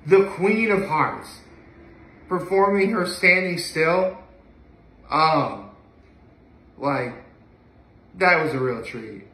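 A young man talks casually to a camera a few feet away. His voice is bright and forceful, pitched a little higher than most men's. He rambles with enthusiasm, and the room adds a clear echo. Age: young adult. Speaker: vlogger. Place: living room, indoors. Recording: echoey room, mic at a distance.

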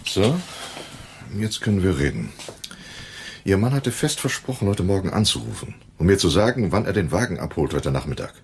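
An actor playing a shady, condescending dealer in a German radio crime drama, with a sardonic manner. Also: male voice, low-pitched, sing-song, normal speed, a little hiss or hum. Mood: businesslike. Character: shady, condescending male dealer in crooked business.

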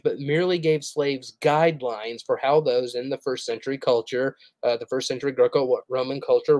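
A man in his thirties teaches over a livestream from a small room at home. He speaks earnestly in a middle-pitched voice, pausing with "uh" as he reads long quotes. The sound is dry and close, from a desk mic. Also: darkish tone, no room sound. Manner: earnest, explanatory, frequent "uh" fillers.

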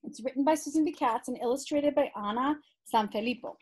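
A middle-aged woman with a somewhat high voice presents a read-aloud for young readers. She is expressive and deliberate with the text, and slips into chatty asides to explain it to the children. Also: husky.

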